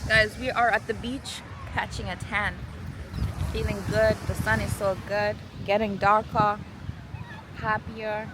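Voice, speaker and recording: Young Kenyan-born woman vlogger, playful chat on a phone outdoors, clear background noise. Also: mid-range voice, level tone, a little slow. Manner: playful, joking, laughs often. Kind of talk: casual travel vlog chat. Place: beach outdoors.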